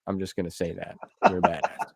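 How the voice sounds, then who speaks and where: Low-voiced man hosting a casual podcast. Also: level tone, quick pace, gravelly, dry recording, studio quality. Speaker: podcast interviewer, host. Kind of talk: casual chat.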